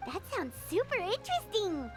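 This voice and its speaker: A tiny fairy companion with an extremely high, squeaky, childlike voice that leaps all over the place. She sounds eager and curious, a video game character with music playing behind her. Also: huge pitch swings, normal speed, exaggerated voice. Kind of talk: English video game voice acting.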